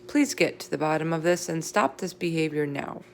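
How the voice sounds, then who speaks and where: A woman's voice: low voice, moderate pace, darkish tone. A woman voicing a stern, insistent female gym teacher in a children's storybook.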